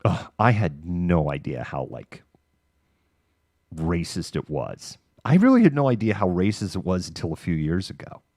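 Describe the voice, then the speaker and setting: Male livestream host, middle-aged, American. Low-pitched, dry sound, candid and halting off-the-cuff delivery. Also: big pitch swings, darkish tone, dry recording. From Massachusetts, United States. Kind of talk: casual monologue of personal reminiscence.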